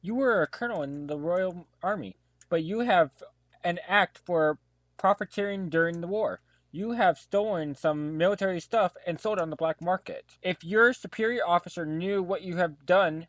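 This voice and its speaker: A formal, methodical detective played by a man with a fairly high voice lays out accusations in an audio drama. He declaims his lines, recorded close and dry with no room sound. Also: normal speed, no echo, lightly acted. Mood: accusing. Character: methodical police detective accusing murder suspects. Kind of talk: amateur audio drama acting.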